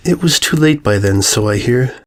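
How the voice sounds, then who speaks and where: A man voicing a calm, regal female pony ruler, gracious and condescending, with unfeeling menace, in a horror story. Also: mid-range voice, moderate pace, natural voice. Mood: coolly menacing. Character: serene, regal mare ruler, politely sinister and unfeeling. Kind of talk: dramatized horror fan fiction reading.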